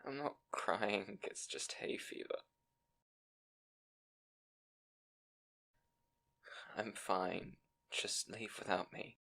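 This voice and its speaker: A man's voice: on the low side, takes it slowly, gravelly, pushed and bright, full character voice. A man playing a timid, bookish young scribe in an audio roleplay, speaking to the listener in an anxious, hesitant, stammering way. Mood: tearful, defeated.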